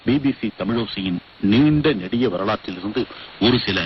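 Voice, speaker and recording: A man's voice: on the low side, animated, moderate pace. A man narrating a scripted radio documentary as its presenter, heard over shortwave radio. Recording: muffled, hissy shortwave radio broadcast.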